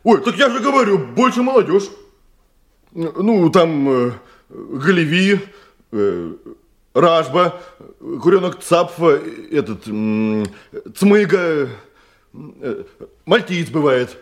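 A small-time criminal lackey in a radio play, his fairly high voice swinging widely in pitch. He is servile and slippery, stammering and echoing questions to buy himself time. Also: big pitch swings, slow, pauses often. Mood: hesitant, ingratiating. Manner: hesitant, stammering, repeats questions back. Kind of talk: Russian radio drama acting.

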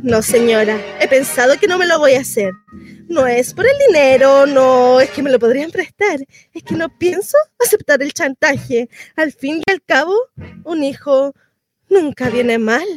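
A woman's voice: high voice, animated, normal speed, faint music behind. A woman acting a theatrical monologue as a nervous, indignant pregnant woman in a bitterly ironic, conversational one-sided dialogue. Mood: bitter, resolute.

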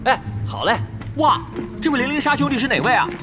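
Casting: young hot-headed gamer, high voice, rattles along quickly, excitable, over loud music. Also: male voice. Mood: eager, curious. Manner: excitable, repeats himself, exclaims. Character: hyper, chatty, hot-headed young male swordsman gamer. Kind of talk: Chinese audio drama acting. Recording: loud music bed behind the voice.

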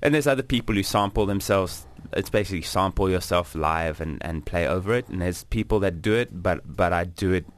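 A man's voice: sits low, a little fast, hardly any pauses. A young man from South Africa, a guest musician chatting in a radio interview, hesitant with many fillers and restarts.

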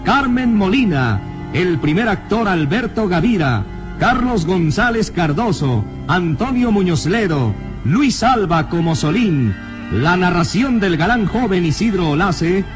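A man's voice: high voice, sing-song, measured pace. A man narrating a radio drama in a solemn, theatrical scripted style. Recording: vintage radio drama with music behind.

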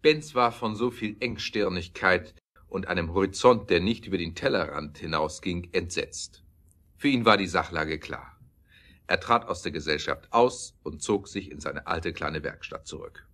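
Male narrator, very deep register, scripted storytelling for audio drama. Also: normal speed, a bit bright.

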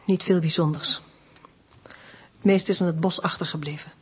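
An actress playing a defiant, weary detained wife in a radio play, giving guarded, dry and curt answers. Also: female voice, lowish voice, steady tone, moderate pace, husky. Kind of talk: Dutch radio play acting.

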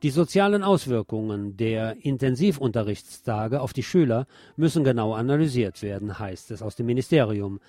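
A man's voice: mid-range voice, expressive, normal speed, hardly any pauses, dark tone, no echo, studio quality. A man presenting scripted radio news as a narrator, reading reports in an orderly way. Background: music under the voice.

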